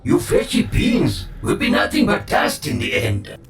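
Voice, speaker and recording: A man's voice: high-pitched, huge pitch swings, measured pace, far from the mic, soft background music, full character voice. A man voicing a pious machine healer in a video game, formal and preachy, scornful of creatures of flesh. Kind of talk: scripted video game voice acting.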